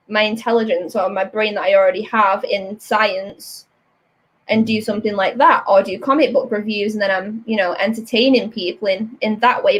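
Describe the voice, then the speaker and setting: A British woman hosting a casual chat while inking comics, lively, frank and chatty. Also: mid-range voice. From Britain. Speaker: host, comic artist.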